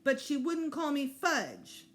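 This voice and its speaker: A woman's voice: high-pitched, huge pitch swings, slow pace, voice pushed up, heavily acted. A woman voicing a cheeky, stubborn kindergarten boy in a storybook, with blunt, defiant declarations.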